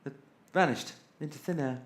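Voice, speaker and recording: A man's voice: on the high side, normal speed, natural voice. A man playing a cheeky, exasperated spaceship crewman in an audio drama, wry and emphatic. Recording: echoey room.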